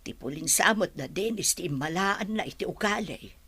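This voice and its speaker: A woman's voice: on the low side, animated, moderate pace, sharp tone, husky, exaggerated voice. A woman playing an elderly mother who gives patient, comforting advice in a radio drama. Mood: consoling.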